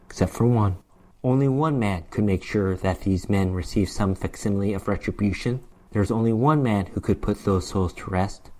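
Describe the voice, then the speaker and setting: A man's voice: medium pitch, a little mellow. A man narrating a fantasy horror short story as a dramatic reading, with theatrical, emphatic delivery.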